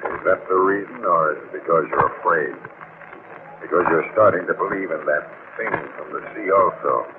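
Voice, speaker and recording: An actor playing a stern, stubborn sea captain, gruff and commanding, in old radio drama style. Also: male voice, sits low, normal speed, close to an everyday voice. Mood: resolute. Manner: gruff, commanding, clipped orders. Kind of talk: 1940s radio acting. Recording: scratchy, tinny old-time radio recording.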